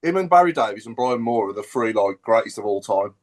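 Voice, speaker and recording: A man's voice: on the low side, expressive, fairly quick. A man chatting casually as a football podcast panellist, bantering and swearing lightly. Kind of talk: casual group chat.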